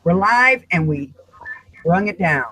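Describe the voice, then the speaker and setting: Bright-voiced guy on a group call, high pitch, rambles, restarts, gets worked up. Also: lively intonation, slow, husky, dry recording. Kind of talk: casual group video chat.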